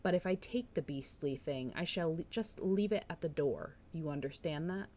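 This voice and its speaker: A woman's voice: sits low, expressive, moderate pace, mellow. A woman reading the part of a reserved, coldly sceptical male village doctor in a storybook, dry and exasperated. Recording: noticeable background noise.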